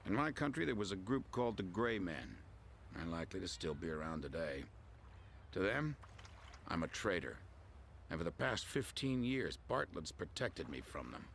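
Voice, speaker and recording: Gravelly, deep veteran pilot. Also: male voice, very animated, normal speed, fairly bright tone, a little hiss or hum, heavily acted. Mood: reflective, somber. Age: middle-aged. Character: reflective, haunted veteran pilot, middle-aged man. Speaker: veteran air force colonel. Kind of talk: video game cutscene acting.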